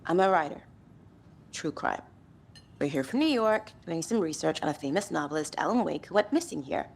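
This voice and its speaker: A woman's voice: low-pitched, lively intonation, a little fast, gravelly, a little mellow, husky, heavily acted. A woman playing a brash true-crime writer in a video game, sardonic and defensive in her delivery. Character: brash, wry female true-crime writer from New York. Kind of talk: naturalistic video game acting.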